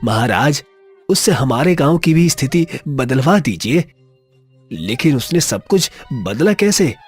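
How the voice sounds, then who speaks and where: A man voicing a humble, anxious village man in a cartoon moral story, pleading deferentially in a husky voice. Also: mid-range voice, big pitch swings, measured pace, faint music behind.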